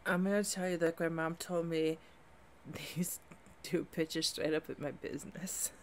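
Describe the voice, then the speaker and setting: A woman's voice: sits low, fairly even intonation, moderate pace, slight background noise. A middle-aged woman chatting as a music reaction vlogger in a small room at home, enthusiastic and rambling, often restarting her sentences and laughing. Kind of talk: casual reaction chat.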